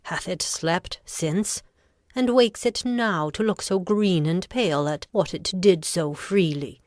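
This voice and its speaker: A woman playing a ruthless, commanding noblewoman in a Shakespearean audio drama, with deliberate, goading delivery of the verse. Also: on the low side, no room sound, clean studio sound. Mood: scornful.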